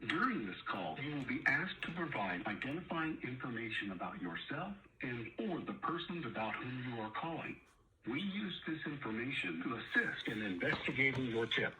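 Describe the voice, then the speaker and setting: A man reading a scripted, formal automated phone menu announcement with measured enunciation. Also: mid-range voice, pushed and bright, rough recording. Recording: phone menu recording with faint noise.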